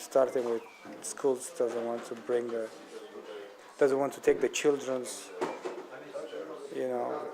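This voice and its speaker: A man from Romania with a Romanian accent, a charity volunteer speaking haltingly about his life in the UK. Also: medium pitch, poor audio. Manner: halting, searching for words. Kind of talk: documentary testimony.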